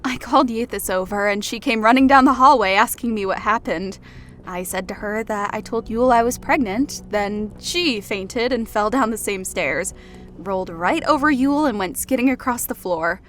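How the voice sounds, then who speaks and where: Casting: actress as easygoing, playful fantasy heroine, slightly high voice, casual confiding storyteller, music underneath. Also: female voice, normal speed, fairly bright tone, lightly acted. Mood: amused, sheepish. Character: Olivara, pregnant woman, playful, sheepish, easygoing. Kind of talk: fantasy audiobook dialogue over music.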